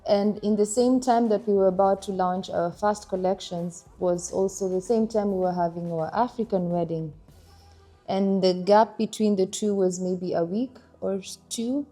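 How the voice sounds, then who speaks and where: A young woman from Kenya, chatting casually about her marriage, animated and laughing now and then. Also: mid-range voice, moderate pace, soft background music. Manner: animated, laughs while telling stories. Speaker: interviewee, wife in a couple. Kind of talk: casual conversational storytelling.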